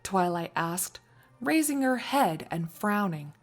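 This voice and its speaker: A woman's voice: medium pitch, big pitch swings, slow, soft background music. A woman narrating a scripted fan fiction story as a storyteller.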